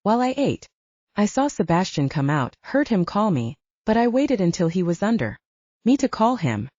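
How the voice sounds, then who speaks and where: A woman narrating a first-person fantasy audiobook, with expressive storytelling and lively voiced dialogue. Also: medium pitch, moderate pace, dry recording. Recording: dull lo-fi dry recording.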